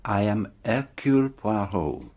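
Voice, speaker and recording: A shrewd belgian detective, french sounding accent, fairly low, precise and formal, radio mystery. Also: male voice, very animated, measured pace, darkish tone, exaggerated voice. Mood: imperious. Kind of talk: radio play acting.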